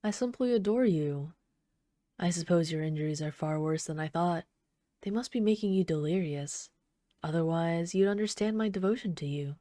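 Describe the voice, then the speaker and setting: Woman acting a mellow, obsessive yandere villainess. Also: fairly low pitch, normal speed, dry recording, studio quality, natural voice. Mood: doting, taunting. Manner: doting, soft-spoken, possessive, taunting. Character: obsessive yandere female supervillain, sweetly menacing, adoring her captive. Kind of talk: ASMR roleplay.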